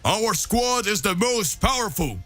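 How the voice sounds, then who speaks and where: A man's voice: high-pitched, lively intonation, unhurried, fairly bright tone, faint music behind, voice pushed up. A man voicing a confident, earnest squad leader in an anime-style story. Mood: resolute. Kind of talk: anime fan-fiction dramatic reading.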